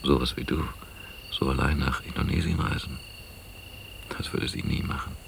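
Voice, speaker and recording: Deep, gravelly, hesitant man. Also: steady tone, normal speed, full character voice. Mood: hesitant, reflective. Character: low-voiced, hesitant, affectionate man in an intimate relationship. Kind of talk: radio drama acting, quiet intimate dialogue. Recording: noisy, hissy old cassette radio play.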